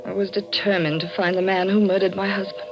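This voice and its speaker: An actress playing a high-strung, determined widow with a dramatic, urgent delivery in a 1940s radio mystery. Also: female voice, level tone, quick pace, music in the background, close to an everyday voice. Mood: brooding narration. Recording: dull old radio recording.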